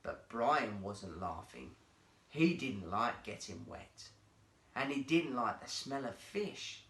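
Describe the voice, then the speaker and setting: A man reading a children's story aloud as an expressive storyteller. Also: medium pitch, slow, roomy sound. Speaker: guest storyteller, actor.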